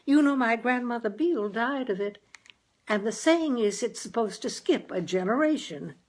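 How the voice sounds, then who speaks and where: A reader's folksy, high-pitched chatty housewife. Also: female voice, expressive, normal speed. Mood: earnest, chatty. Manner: folksy, exclamatory. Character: chatty, earnest, optimistic homespun housewife and mother. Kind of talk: dramatized audiobook character.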